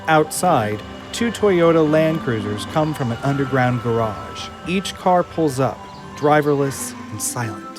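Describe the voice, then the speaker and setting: A man's voice: mid-range voice, lively intonation, unhurried, few pauses. A man reading scripted stage directions as the narrator of an audio drama. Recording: music under the voice. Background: music.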